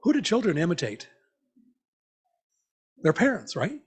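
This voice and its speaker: Male lay preacher, somewhat high-pitched, thoughtful and conversational, leading an interactive message for a congregation. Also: huge pitch swings, a little slow, husky. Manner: conversational, reflective, repeats listeners' answers back. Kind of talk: interactive sermon, asking the congregation questions. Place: church meeting, speaking to a congregation.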